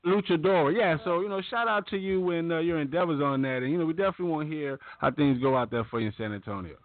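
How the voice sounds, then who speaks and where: A man's voice: fairly high pitch, fast talker, narrow, tinny audio. A man co-hosting a talk show, chatting casually and hesitantly with lots of fillers. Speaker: co-host. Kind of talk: casual chat.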